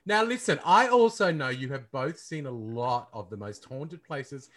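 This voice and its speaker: Lively, jokey male host. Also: medium pitch, unhurried. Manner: enthusiastic, jokey. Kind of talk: interview chat.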